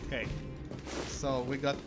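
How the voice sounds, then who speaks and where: A man's voice: medium pitch, flat delivery, measured pace. A man giving casual video game commentary and reading on-screen text aloud. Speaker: gamer streaming a playthrough. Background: loud game music.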